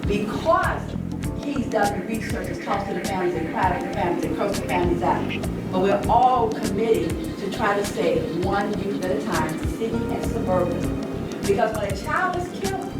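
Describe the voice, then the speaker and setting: An American woman addresses a group from off the mic in an echoey hall, with loud music behind her. She hosts in an emphatic, motivational style, repeating her phrases to drive them home. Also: medium pitch, expressive, normal speed, recorded from a distance. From United States, Chicago. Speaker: host of a community discussion after a stage play. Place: large indoor room or hall.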